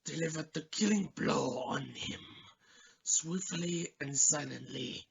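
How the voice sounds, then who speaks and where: An actor playing a fawning, anxious assassin who serves a cult, with theatrical servile pleading and a strained raspy voice, in an amateur radio play. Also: male voice, on the high side, slow, dull audio, slight background noise. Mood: servile, proud.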